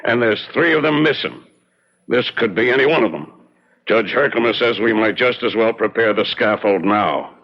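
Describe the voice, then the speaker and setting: A plain-spoken town constable acted by a man talks in a low voice. He is blunt, folksy and matter-of-fact, as in a radio play. The audio is dull and lo-fi. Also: normal speed, natural voice. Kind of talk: radio drama acting. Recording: dull, lo-fi radio drama recording.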